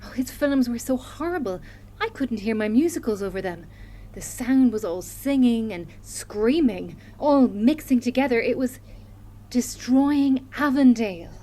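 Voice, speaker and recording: A woman's voice: on the high side, expressive, a little slow, mellow. A woman playing an unhinged, dreamy and menacing recluse in an audio horror drama. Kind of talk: audio horror drama acting with musical singing.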